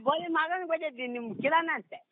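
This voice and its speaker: A man's voice: very high-pitched, quick pace. An irritated man with a North Karnataka Kannada accent, arguing bluntly and protesting over the telephone. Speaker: prank call recipient. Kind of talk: heated phone argument. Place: on a phone call. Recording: tinny phone line with noise.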